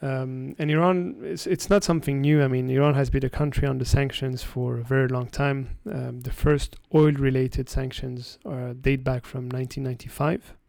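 Gravelly-voiced man explaining. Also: mid-range voice, steady tone, a little mellow, no room sound. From France. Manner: explanatory, halting with frequent restarts. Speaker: guest energy analyst. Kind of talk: interview answers.

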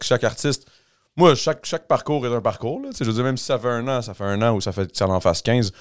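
A young man with a Quebec French accent, talking loosely in casual podcast chat. His voice is smooth and resonant, sitting at a middle pitch, and he keeps restarting his sentences and mixing English slang into his French.

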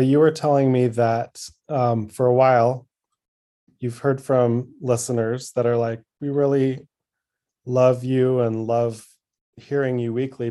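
A man co-hosts the podcast with a mellow, warm-toned voice. He speaks calmly and supportively in casual chat, mostly in short replies. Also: medium pitch. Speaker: podcast co-host and creative partner.

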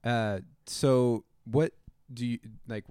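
A host asking casual interview questions in a relaxed, loose way, with lots of "um" and "uh" and easy laughter. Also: mid-range voice, slow pace, smooth, no room sound. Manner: relaxed, filler-heavy questions, laughs along.

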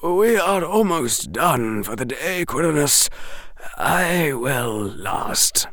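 A man voicing an elderly headmaster in an audiobook, gentle and weary, with a soft, courteous, reluctant delivery. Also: fairly high pitch, big pitch swings, takes it slowly, dry recording, studio quality, voice pushed up, exaggerated voice. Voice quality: soft, weakened. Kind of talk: audiobook character voice.

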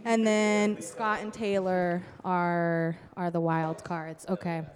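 A woman's voice: on the low side, flat delivery, takes it slowly, a crowd in the background. A woman hosting an improv podcast casually, directing and organizing the people around her. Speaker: host of an improv radio-play podcast.

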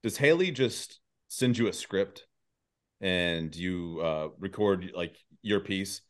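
A man with a deep, low voice hosts a casual conversation. He feels his way through each thought, stopping and restarting as he rambles along in an informal interview style. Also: lively intonation. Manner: halting, rambling delivery. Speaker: podcast host.

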